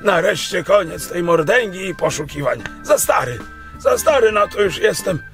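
Exasperated older man, audio drama, theatrical, high-pitched, very lively intonation. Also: moderate pace, soft background music. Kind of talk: children's audio drama acting.

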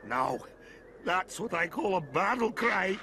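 An actor playing a big, proud, hearty Scottish warrior father in an animated game, blustering and gravelly. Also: high-pitched, unhurried, background noise. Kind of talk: animated game character acting. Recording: music behind. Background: game music.

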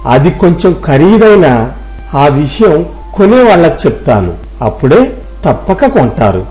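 Mellow, principled village shopkeeper, played by a man. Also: fairly high pitch, very animated, faint music behind, low-quality recording. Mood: instructive. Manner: instructive, measured explaining. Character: honest, principled wealthy village shopkeeper instructing his clerk. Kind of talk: storybook character dialogue.

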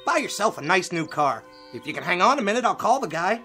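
A man's voice: high-pitched, very animated, fast, bright, forceful tone, faint music behind, exaggerated voice. An actor in a radio drama playing a blunt working-class man who talks in slang. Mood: haggling.

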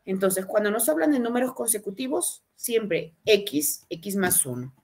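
A woman's voice: medium pitch, sing-song, a little mellow, slightly rough. Patient woman teaching math. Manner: patient, repeats each phrase slowly. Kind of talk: online class explanation. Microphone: webcam on video call.

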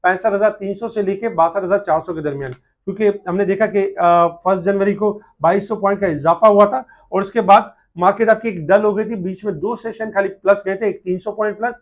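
A man in his thirties with a Pakistani Urdu accent, giving a daily stock market update from a small office and filling pauses with frequent "uh"s. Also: high voice, dull audio. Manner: steady explanatory delivery with frequent "uh" fillers. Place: small office with desk and monitor, indoors. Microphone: camera at desk distance.

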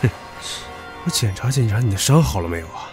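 An actor playing a brash, hot-tempered young subordinate who taunts crudely in an audio drama. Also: male voice, on the low side, animated, fairly quick, music in the background, close to an everyday voice. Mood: menacing, pushy. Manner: mocking, crude, provocative. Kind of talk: modern audio drama acting.

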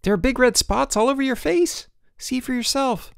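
A man's voice: mid-range voice, sing-song, moderate pace, gravelly, dry recording, clean studio sound. A man voicing a scheming schoolboy prankster, urgent and theatrically earnest, in a storybook reading. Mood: feigned alarm. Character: sly, scheming schoolboy prankster feigning alarm.

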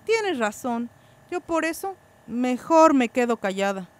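Woman acting radio drama village mom, high voice, earnest and moralizing. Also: very animated, a little slow. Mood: quietly confiding. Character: frightened but principled village mother in a radio drama.

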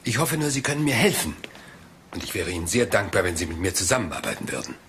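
A man's voice: deep voice, very animated, fast, a bit bright, a little hiss or hum, full character voice. An actor playing a rumpled, polite but shrewd police detective in a German radio crime drama, rambling and hesitant as he casually asks questions. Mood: gentle, probing.

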